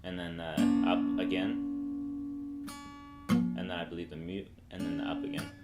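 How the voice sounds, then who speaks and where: Deep-voiced young man teaching guitar. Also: moderate pace. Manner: relaxed, rambling, thinks aloud mid-sentence. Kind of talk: casual guitar tutorial. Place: small bright room indoors, seated with guitar. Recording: echoey room with acoustic guitar.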